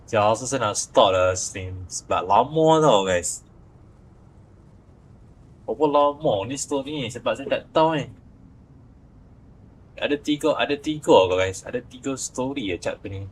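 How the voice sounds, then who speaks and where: A man's voice: mid-range voice, expressive, normal speed, pauses often, slightly rough. A Malaysian man chatting informally and grumbling repetitively as he streams a game. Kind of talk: casual livestream chat while playing. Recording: game music behind the voice. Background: video game music and dialogue.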